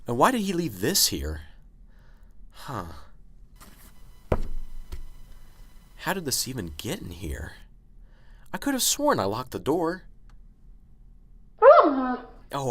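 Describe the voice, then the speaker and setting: A man's voice: medium pitch, wildly swooping pitch, slow pace, long pauses, a bit bright, slight background noise, exaggerated voice. A man playing a nervous, unsure professor in an amateur radio drama, muttering hesitantly to himself and stammering. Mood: gentle, puzzled. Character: nervous, unsure, bookish male professor, anxious and brooding.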